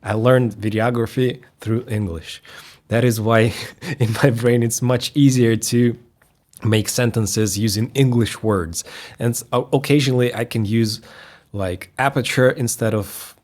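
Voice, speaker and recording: A man from Russia whose first language is Russian, chatting as a guest, pausing to search for words. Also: medium pitch, steady tone, darkish tone. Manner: thoughtful, searches for words, laughs lightly. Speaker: guest, video creator. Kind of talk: casual conversation.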